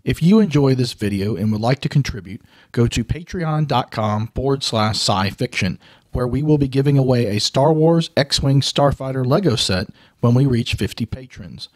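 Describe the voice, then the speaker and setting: A man reads a scripted promotional outro as a channel host. His pitch is average. The recording is close and dry, like a studio voice-over. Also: animated, normal speed, no echo.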